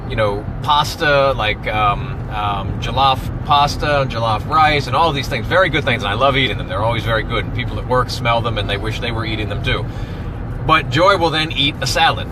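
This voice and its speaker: A man's voice: mid-range voice, fairly quick. A man in his late thirties chatting casually while driving, easygoing and explaining things at length. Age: 30s. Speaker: family vlogger, husband. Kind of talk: casual chat. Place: driving a car. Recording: car road noise behind.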